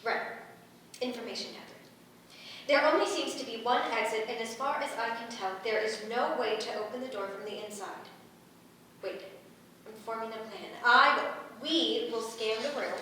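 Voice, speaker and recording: A woman's voice: fairly high pitch, normal speed, sharp tone. A woman playing an analytical, literal-minded water elemental in a staged play reading, with clipped, formal phrasing. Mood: analytical, clinical. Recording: echoey room from far off, faint noise.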